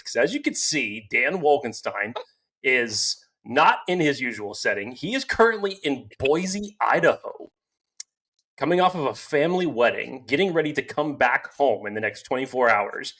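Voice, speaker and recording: A man's voice: mid-range voice, animated, normal speed, fairly bright tone. A man co-hosting a casual sports podcast, talking with hype-style energy and doing ad reads over a remote call. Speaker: podcast co-host.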